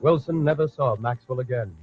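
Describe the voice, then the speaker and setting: A man's voice: mid-range voice, fairly quick, loud music behind the voice, natural voice. Man as dramatic old radio western narrator, muffled. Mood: grave. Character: solemn storyteller of a western radio serial. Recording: dull old radio recording.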